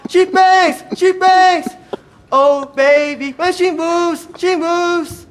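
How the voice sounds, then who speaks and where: A man singing loudly in an old television clip, belting out big drawn-out notes. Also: very high voice, fairly even intonation. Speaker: singing contestant in an archive clip. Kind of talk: loud singing performance.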